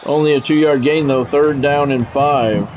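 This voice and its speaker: A man's voice: a little high, steady tone, unhurried, slight background noise. An American man doing play-by-play commentary on a football game in short, clipped announcer phrases. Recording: dull lo-fi sound, music behind.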